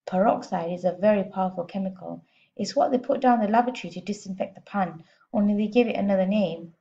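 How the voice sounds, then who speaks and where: A woman voicing a shrill, exasperated middle-aged mother who scolds and shrieks in a storybook. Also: fast, dark tone, highs cut off, natural voice. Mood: exasperated, cutting.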